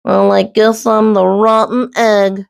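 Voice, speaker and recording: A woman's voice: mid-range voice, flat delivery, vocal fry, clear, resonant tone. A woman voicing a grumpy, reluctant male goose in a storybook, mumbling and groaning glumly. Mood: glum, weary. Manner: slow, glum mumbling and groaning. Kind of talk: children's storybook read-aloud.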